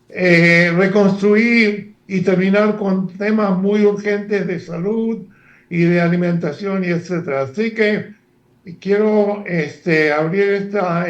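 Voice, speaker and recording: An older man from Argentina, a former professor speaking as a guest analyst in a halting, lecture-like way with many fillers and restarts. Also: high-pitched, roomy sound, muffled recording. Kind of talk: lecture-like interview talk.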